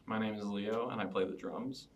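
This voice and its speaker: A man's voice: fairly low pitch, fairly even intonation, normal speed. A young American man giving brief, casual answers in a group interview. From Cincinnati, United States. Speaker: band drummer being interviewed. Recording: distant mic with faint room noise.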